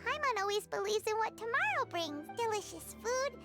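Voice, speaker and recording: A woman voicing a tiny, childlike, excitable fairy companion in a video game, exclaiming and wheedling. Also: very high voice, moderate pace, over music. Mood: earnest, cheerful. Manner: exclaiming, wheedling, speaks of herself in third person. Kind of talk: video game character voice acting.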